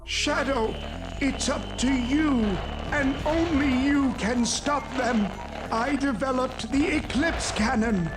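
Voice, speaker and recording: A man playing a grave old scientist in a video game, slow, solemn and earnest as he pleads. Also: very high-pitched, music in the background. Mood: urgent, pleading. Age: older. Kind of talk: video game cutscene acting. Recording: echoey, noisy playback of a message.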